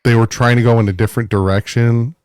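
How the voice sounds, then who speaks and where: A man talking football in a casual podcast chat, animated and exasperated, slipping into mocking voices. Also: medium pitch, fast, smooth, dry recording, studio quality. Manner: animated, mocking the front office in put-on voices. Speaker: guest fan analyst.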